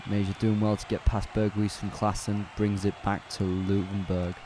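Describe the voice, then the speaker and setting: A man calling a football match live on a fan watch-along stream, chatty and relaxed, correcting himself as he goes and answering viewers' chat. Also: fairly low pitch, normal speed, background noise. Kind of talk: casual live play-by-play commentary.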